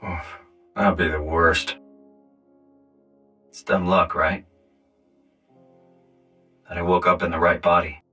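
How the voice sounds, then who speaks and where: A weary North American man in a video game, troubled and brooding. His low voice has a bright, forceful edge and a conversational delivery. It sits a little away from the mic, with music underneath. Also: flat delivery, slow, long pauses, husky, distant mic, exaggerated voice. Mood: curious, weary. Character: weary, distressed man uprooted into a robot body. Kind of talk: naturalistic video game acting.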